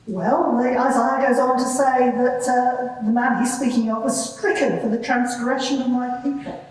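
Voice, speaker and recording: A woman's voice: sits high, steady tone, normal speed, a little mellow, close to an everyday voice. A woman playing a composed, probing lawyer who questions a witness formally and insistently in a live courtroom drama. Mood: measured, probing. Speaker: examining lawyer in a dramatised inquiry. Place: large reverberant courtroom, live event. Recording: very echoey hall, distant microphone.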